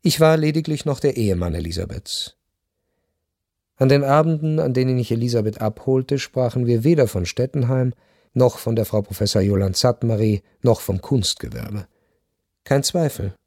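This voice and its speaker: An actor playing a reflective, wounded husband who narrates a literary radio play in a measured, restrained way. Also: male voice, medium pitch, lively intonation, warm tone, dry recording, studio quality. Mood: wistful, restrained. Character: reflective, wounded husband recounting his past. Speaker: first-person narrator.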